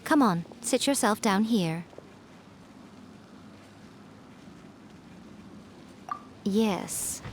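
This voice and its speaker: A woman's voice: medium pitch, animated, takes it slowly, slight background noise. A woman voicing a playful, self-assured fox shrine maiden in an anime game, coy, teasing and coaxing. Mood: composed.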